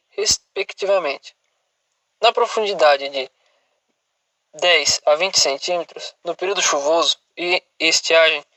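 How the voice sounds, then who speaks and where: Bright-voiced Brazilian man reading formally. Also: a little high, no room sound, rough recording. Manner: formal, recited reading with occasional stumbles. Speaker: student presenter. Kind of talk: scripted academic presentation read aloud.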